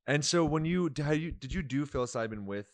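A man's voice: on the high side, expressive, brisk, no room sound. A man co-hosting a casual chat, cutting in with curious follow-up questions into a handheld mic. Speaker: co-host.

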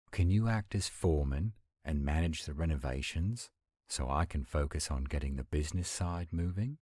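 Man voicing gruff werewolf pack leader, very low, slow, measured, weary, kind. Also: darkish tone, no echo, studio quality, full character voice. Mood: calm, firm.

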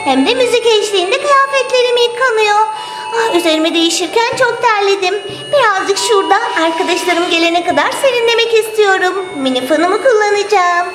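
A woman's voice: very high-pitched, expressive, brisk. A woman voicing a little doll girl in children's toy play, singsong, exclamatory and affectionate as she hosts her friends. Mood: cheerful. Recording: roomy sound with music behind. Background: music.

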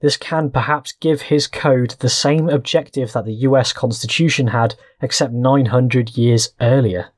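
A man's voice: medium pitch, unhurried, few pauses, no echo, clean studio sound. A man with a British accent, narrating a scripted video essay as its host. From Britain.

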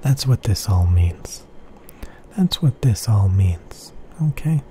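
Slow, whispering man with dark voice. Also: fairly low pitch, animated, slight background noise. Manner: repeats phrases softly, often in unvoiced whispers. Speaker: headphone reviewer. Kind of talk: slow whispery ASMR-style tech explanation.

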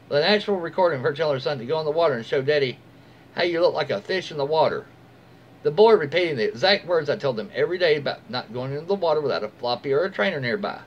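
An American man in his forties reads a story aloud informally, occasionally stumbling over words and correcting himself. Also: fairly high pitch. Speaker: storyteller reading online posts aloud. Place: small room at home, indoors. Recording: dull lo-fi mic, faint background noise.

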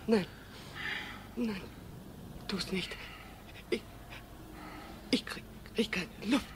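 An actress playing a distraught, defiant widow with emotional intensity in a crime radio drama. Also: female voice, medium pitch, very slow, noisy background. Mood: terrified. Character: distraught, defiant widow facing a dangerous former lover.

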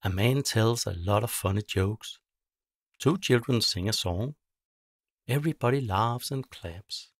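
A man with a lowish, creaky voice narrates a children's storybook. He reads in short, simple phrases, recorded very dry and close. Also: unhurried, no room sound.

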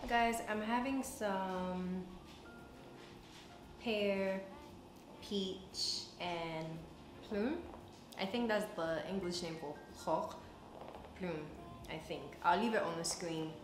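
A woman's voice: mid-range voice, takes it slowly, long pauses. Woman in her 20s from ghana doing casual vlog chat, echoey house, music under it. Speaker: vlogger. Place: indoor living room, kitchen and bedroom. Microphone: camera a few feet away. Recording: echoey room with music behind.

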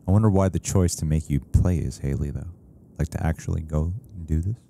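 A man's voice: very deep voice, brisk, gravelly, dark tone, slight background noise. A man in his thirties musing thoughtfully as a co-host of a reaction show, talking into a headset in a small room. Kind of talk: casual reaction chat. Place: small streaming room indoors.

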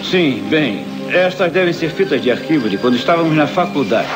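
A man's voice: on the high side, lively intonation, a little fast, music in the background, natural voice. A man playing a kindly, earnest scientist who explains things, in a Brazilian cartoon dub. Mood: thoughtful. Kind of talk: dubbed cartoon acting.

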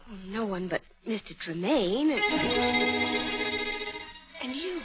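A woman's voice: on the high side, sing-song, slow pace. An actress playing a distraught young woman who uncovers a murderer in a 1940s radio drama. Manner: emotional, urgent, breathless pleas. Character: distraught young woman, heiress accusing killer. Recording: dull old radio with music.

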